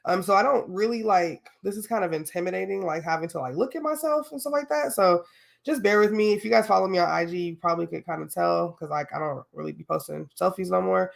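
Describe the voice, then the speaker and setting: A woman's voice: on the low side, fast talker, creaky. A young adult woman hosting a solo podcast, talking casually and candidly with lots of fillers and slang, a little sniffly from a cold. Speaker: podcast host.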